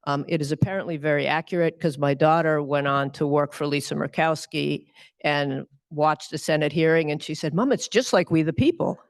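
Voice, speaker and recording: A middle-aged woman judge answering audience questions in a relaxed, wry, conversational way. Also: deep voice, brisk, dry recording. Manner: relaxed, wry, with light laughs.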